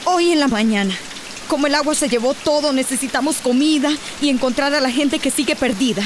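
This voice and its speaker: A woman's voice: high-pitched, a little fast, lightly acted. An actress with a Central American accent playing an urgent village woman radioing for help in a radio drama. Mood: urgent, anxious. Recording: noisy, rainy background.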